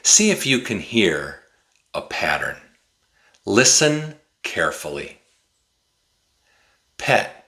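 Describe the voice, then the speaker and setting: A man in his forties giving an English pronunciation lesson to camera, enunciating deliberately and carefully like a teacher. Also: lowish voice, sing-song, pushed and bright. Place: small indoor room with bookshelf.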